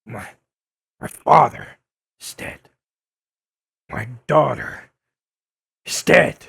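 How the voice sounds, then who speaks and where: Man playing a gravelly, embittered older newspaperman. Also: mid-range voice, fairly bright tone, no room sound, studio quality, heavily acted. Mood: broken, bitter. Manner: bitter, halting, emphatic. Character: embittered, grieving older newspaperman, accusing and broken. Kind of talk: dramatic audio drama acting.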